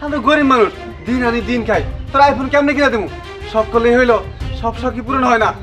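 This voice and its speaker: A man's voice: very high-pitched, fast talker, music in the background. A man voicing an exasperated, poor labourer father in a cartoon drama, with a Bangladeshi accent and a complaining, scolding manner. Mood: furious scolding. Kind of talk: cartoon comedy drama dialogue.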